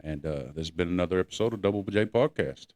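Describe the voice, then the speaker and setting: A man's voice: sits low, fast talker, darkish tone. A man chatting casually as a podcast co-host, laid-back and full of slang. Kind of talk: casual chat.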